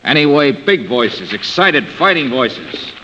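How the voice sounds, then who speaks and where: Dogged, slangy sleuth, lively swooping mid-range voice, dull old radio sound. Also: male voice, mid-range voice, full character voice. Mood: needling, dogged. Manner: slangy, brisk, needling. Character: wisecracking, pushy, dogged male amateur sleuth. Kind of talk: 1950s radio drama acting. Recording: dull vintage radio recording, faint noise.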